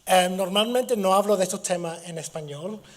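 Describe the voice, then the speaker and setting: Hoarse middle-aged man with a cold. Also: high-pitched. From Gibraltar. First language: English. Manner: halting, with restarts and fillers. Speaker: guest lecturer. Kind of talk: university lecture.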